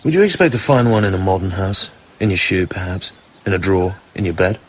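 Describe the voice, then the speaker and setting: An actor playing a cool, dry British secret agent in a radio drama, speaking and narrating in a clipped, understated manner. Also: male voice, deep voice, expressive, brisk, slightly rough. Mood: brisk, questioning. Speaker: lead actor and first-person narrator. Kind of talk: radio drama acting and first-person narration.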